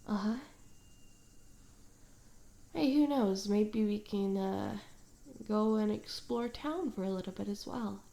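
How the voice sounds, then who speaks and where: A smitten tomboy stalker, played by a woman, talks slowly and softly as if to one listener. Her delivery is awkward and hesitant, with small hums between phrases. The style is intimate, improvised ASMR roleplay at a mid-range female pitch. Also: creaky. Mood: earnest, smitten.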